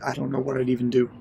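Clear background ambience sits behind an actor playing a young man of middling pitch. He is a skeptical, anxious but sensible housemate, speaking naturally in a horror audio drama. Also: mellow, lo-fi sound, slight background noise, lightly acted.

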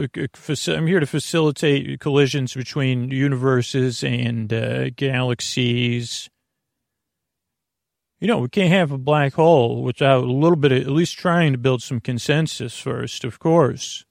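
A man hosting a bedtime podcast with a meandering, off-topic monologue, halting and often restarting his sentences as he trails off. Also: medium pitch, fairly even intonation, gravelly, no echo, studio quality. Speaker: podcast host.

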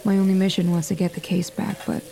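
An actress plays a calculating woman on the run in a serialized audio thriller. Her medium-pitched voice stays cool and knowing, with some background noise around it. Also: little pitch movement, fast talker, vocal fry, warm tone, lightly acted, poor audio. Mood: cool, confessional. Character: cool, calculating woman on the run, former arms dealer's operative. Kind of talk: audio fiction drama acting.

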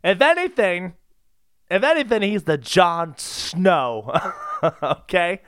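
A man's voice: high-pitched, lively intonation, takes it slowly, a bit bright, smooth, no echo. A man co-hosting a comedy podcast in casual banter, theatrical, exaggerated and given to mock indignation.

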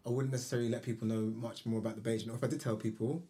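A man's voice: medium pitch, flat delivery, racing, dark tone. Thoughtful man in casual podcast chat. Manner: thoughtful, restarts sentences. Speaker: podcast guest. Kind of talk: casual conversation.

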